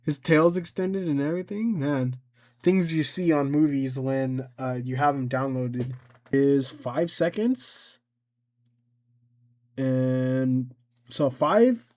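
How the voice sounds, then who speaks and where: A man giving a casual software tutorial, rambling and thinking aloud as he works through each step. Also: mid-range voice. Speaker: tutorial presenter.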